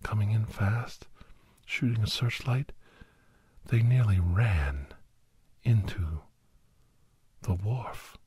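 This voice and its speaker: A man narrating a suspenseful first-person ASMR story in a hushed, half-whispered voice with dramatic pauses. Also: fairly low pitch, lively intonation, husky, no echo. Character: doctor recounting a monster-hunting adventure, grave and awed.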